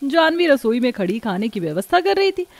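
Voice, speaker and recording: A woman's voice: high-pitched, very animated, a little fast, no echo. A woman narrating a scripted moral story.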